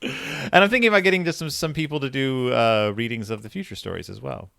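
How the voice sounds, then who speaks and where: A man's voice: medium pitch, quick pace, gravelly, sharp tone, no room sound, studio quality. A man hosting a podcast, chatting casually and enthusiastically about literature, often saying "like" as filler. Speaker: podcast host.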